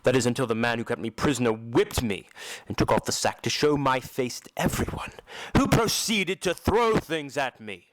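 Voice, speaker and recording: A man's voice: medium pitch, lively intonation, moderate pace, no room sound, natural voice, poor audio. Man acting a solemn, tormented masked recluse. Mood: bitter, pained. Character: tormented, embittered male masked opera recluse recalling a cruel childhood. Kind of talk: amateur audio drama acting.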